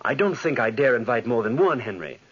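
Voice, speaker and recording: An actor playing a driven, haunted young gentleman scientist in earnest, formal, dramatic 1940s radio drama style, with a husky voice. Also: medium pitch, animated, brisk. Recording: muffled 1940s radio recording.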